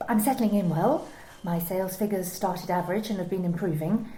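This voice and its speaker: An actress playing a guarded young female sales worker who answers haltingly in an amateur radio play. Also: lowish voice, dark tone, faint music behind, close to an everyday voice. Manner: hesitant, defensive, halting. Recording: roomy home recording, faint hiss.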